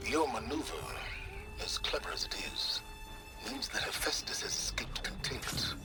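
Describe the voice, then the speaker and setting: Aloof cerebral strategist, music behind. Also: male voice, a little high, sing-song. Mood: cool, stern. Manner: precise, formal, measured. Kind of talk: video game cutscene acting. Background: dramatic game score.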